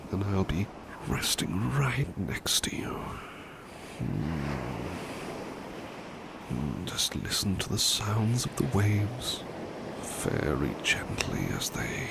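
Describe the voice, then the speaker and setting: A man acts as a tender, courteous butler in an ASMR roleplay, with a deep voice that moves expressively between soft murmurs and whispers. He takes his time, soothing and attentive, repeating himself gently. Loud, steady ambient noise runs behind him. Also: vocal fry, heavily acted. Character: devoted, tender, polite male butler servant.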